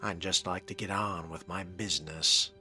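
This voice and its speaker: A man's voice: low voice, steady tone, normal speed, bright, forceful tone, soft background music. A man narrating a video game in character, with a dry, sardonic and wordy manner. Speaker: gamer role-playing his character.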